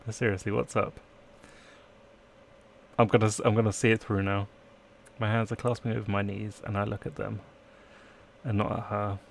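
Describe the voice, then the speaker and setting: A man's voice: fairly low pitch, flat delivery, moderate pace, gravelly, long pauses. A man live-streaming a let's play, reading game text aloud and chatting in playful asides. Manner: playful asides, trying out accents.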